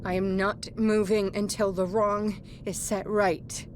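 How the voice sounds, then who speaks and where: A woman acting as a fragile, half-mad painter in a video game, breathy and husky, drifting dreamily and cryptically between halting phrases. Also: mid-range voice, flat delivery, noisy background, lightly acted. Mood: ominous, insistent.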